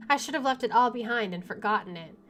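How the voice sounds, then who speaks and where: A woman streaming a visual novel, reading the narration aloud and breaking in with chatty reactions. Her voice sits fairly high. Faint game music plays under her. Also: quick pace.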